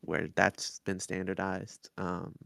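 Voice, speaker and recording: A man's voice: low-pitched, little pitch movement, slow pace, vocal fry, pushed and bright, dry recording. A man co-hosting a review podcast in a casual, conversational style. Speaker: podcast host.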